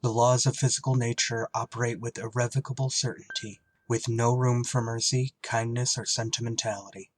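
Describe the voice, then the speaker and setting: A man's voice: medium pitch, flat delivery, fairly quick, hardly any pauses, natural voice. A man acting a wry, duty-bound shuttle pilot in a scripted retro radio drama. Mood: grave narration. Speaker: actor and first-person narrator in an audio drama. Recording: clear background noise.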